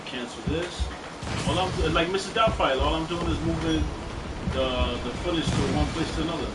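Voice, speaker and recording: A man's voice: on the high side, brisk. Rambling New man hosting a stream. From New York. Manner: loose, rambling, shout-outs. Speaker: show host. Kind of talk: casual chat while playing a game on stream. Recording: roomy, distant mic, loud music behind.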